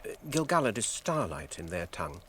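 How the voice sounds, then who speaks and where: An actor playing an anxious, earnest young hobbit in a radio drama, hesitant and British-accented. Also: male voice, deep voice, very animated, brisk, pushed and bright, a little hiss or hum, heavily acted.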